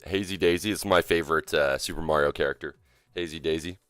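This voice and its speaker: Deep-voiced sick streamer man. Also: fairly even intonation, quick pace, fairly bright tone, clear, resonant tone, no echo. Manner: loose and joking, rambling with fillers. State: sick with a cough, voice deeper than usual. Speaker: live streamer. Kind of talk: casual live-stream chat with viewers. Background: faint game music.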